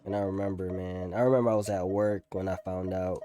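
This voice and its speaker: A young man talking casually over gameplay as a streamer, candid and slangy, in a halting way. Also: low-pitched, level tone, music in the background. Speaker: gaming streamer.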